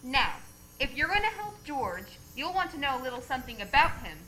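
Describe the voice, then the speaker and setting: An actress playing a calm, authoritative female superintendent of angels in an old-time radio play. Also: sits high, faint music behind, full character voice, poor audio. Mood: brisk. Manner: brisk, authoritative, kindly.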